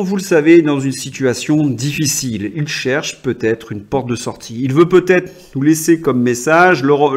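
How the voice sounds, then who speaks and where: A man hosting a political commentary show, talking in an ironic, indignant tone with mocking asides. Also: fairly high pitch.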